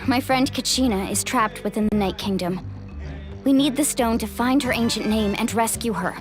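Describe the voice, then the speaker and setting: A determined young heroine acted by a woman speaks in a fairly high voice, earnest and resolute. It sounds like scripted video game dialogue, with light music behind. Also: moderate pace, lightly acted. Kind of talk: English video game voice acting.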